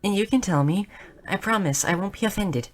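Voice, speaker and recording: A woman voicing a shy, nerdy, curious young goblin girl in a roleplay audio drama, speaking softly and earnestly with small stammers. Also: medium pitch, animated, a little fast, lightly acted. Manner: soft, hesitant, stammering, earnest.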